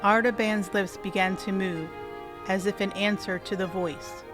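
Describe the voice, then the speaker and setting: A woman's voice: medium pitch, fairly even intonation, unhurried. A woman reading scripted dramatic narration as the narrator of a story. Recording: music behind the voice.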